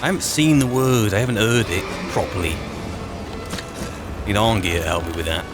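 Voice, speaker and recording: A man's voice: lively intonation, normal speed, over music. A man giving understated, in-character commentary as he roleplays through a fantasy video game, often muttering to himself. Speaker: gameplay roleplayer and narrator. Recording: loud game ambience and rumbling behind.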